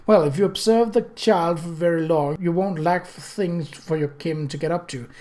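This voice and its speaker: A man voicing a brisk, tart, self-possessed older aunt in an audiobook. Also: a little high, a little mellow, natural voice. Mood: amused. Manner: firm, tart. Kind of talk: audiobook character voice.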